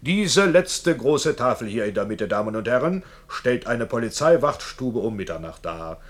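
An actor playing a pompous fairground barker, narrating in a showy, declamatory, coaxing radio drama style. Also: male voice, mid-range voice, big pitch swings, moderate pace, close to an everyday voice. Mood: grandiose narrating. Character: pompous, grandiloquent male fairground ballad barker.

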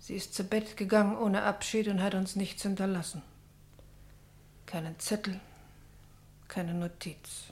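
A woman's voice: medium pitch, level tone, measured pace, long pauses, darkish tone, close to an everyday voice. An actress playing a middle-aged pastor's wife grieving for her daughter in a German radio drama, anguished, bitter and brooding. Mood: hushed bewilderment. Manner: anguished, brooding, partly whispered.

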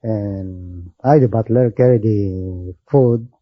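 A Filipino-accented man speaks slowly in a husky voice, choosing his answers with polite, deferential hesitation. He is a quiz contestant, heard on a dull old radio recording with a faint audience behind. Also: on the low side, no room sound. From Philippines. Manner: polite, deferential, hesitant, often says sir. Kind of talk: answering interview questions.

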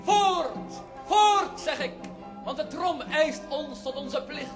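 An actor playing a bloodthirsty warrior eager for the sword, declaiming commanding verse in a radio tragedy. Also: male voice, very high voice, animated, slow pace, over loud music, heavily acted. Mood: fierce eagerness.

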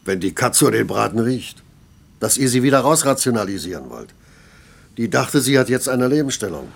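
A gruff old master craftsman in a radio drama. His voice is creaky and husky at a middling pitch, and he needles in a sardonic way. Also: lively intonation, moderate pace. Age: older. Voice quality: gravelly, husky. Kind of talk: German radio play acting.

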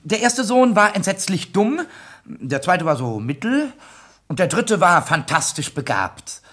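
A man's voice: high-pitched, very animated, unhurried, a bit bright, heavily acted. An actor playing a cheeky male grammar-school pupil in a comedic radio play, hesitant and mock-polite as he feigns innocence. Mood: hesitant storytelling.

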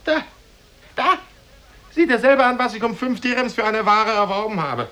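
A man's voice: high-pitched, lively intonation, normal speed, bright, forceful tone. A man playing a lazy, naive young man with theatrical expression in a 1950s radio play. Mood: grumbling, sluggish.

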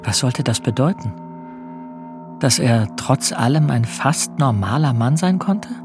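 An actor playing a gentle, ashamed middle-aged husband in a German radio drama, speaking with polite restraint. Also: male voice, medium pitch, lively intonation, measured pace, natural voice. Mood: brooding. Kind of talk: German radio drama, introspective narration and dialogue. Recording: music behind the voice.

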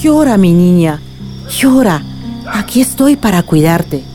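A woman's voice: fairly low pitch, sing-song, measured pace, warm tone, soft background music. A woman playing a wise, tender old village grandmother in a radio drama, telling stories consolingly with a Mexican accent. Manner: consoling, storytelling.

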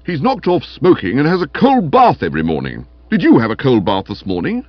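A man's voice: medium pitch, wildly swooping pitch, fairly quick, no echo, dull audio, pitched above the performer's own voice. Man putting on a hectoring, pompous constable. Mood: accusing, hectoring. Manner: hectoring, morose, clipped pronouncements. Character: stern bullying young constable, pompous, peevish and accusing. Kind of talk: comic audiobook character voice.

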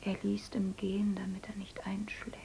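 A woman's voice: mid-range voice, completely flat delivery, moderate pace, creaky, dark tone, a little hiss or hum, natural voice. An actress playing a lonely young foster daughter who whispers her inner thoughts in a radio play. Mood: longing.

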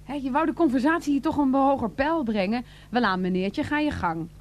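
Actress doing a sarcastic bossy woman in a radio comedy, high voice, very theatrical and mocking. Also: fairly quick, lightly acted. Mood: mocking, challenging. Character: sharp-tongued, mocking woman of a bickering household. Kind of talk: satirical radio play acting.

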